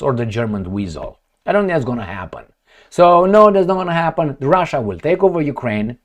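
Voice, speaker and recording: A man giving blunt, sarcastic political commentary, firing off rhetorical questions and swearing as he reacts to news reports. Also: medium pitch.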